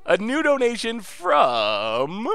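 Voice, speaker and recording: A man streaming and commenting on a card game in a casual, excitable way, laughing often, his voice a little congested. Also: high-pitched, takes it slowly, creaky, clean tone, dry recording, soft background music.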